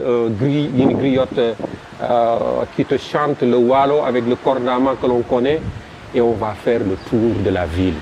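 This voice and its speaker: A man with a Senegalese French accent, a festival promoter describing his event in an interview, halting and repeating words as he goes. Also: medium pitch, muffled recording. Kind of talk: interview answers. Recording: noisy background.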